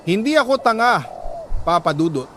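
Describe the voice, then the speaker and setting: A Filipino man reading a heartfelt confession letter as a radio storyteller, in an emotional, deliberate way with short phrases and pauses. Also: high-pitched, sing-song, fairly bright tone, a little hiss or hum. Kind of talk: dramatic scripted narration of a heartfelt confession letter.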